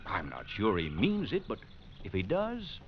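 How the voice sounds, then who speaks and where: An excitable American guest-ranch owner in a vintage radio play, folksy and blustering with an anxious streak. His low voice swings widely up and down. The recording is dull and a little hissy. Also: unhurried. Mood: anxious, pleading. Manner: hearty, fussy, excitable. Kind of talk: 1940s-50s American radio drama acting.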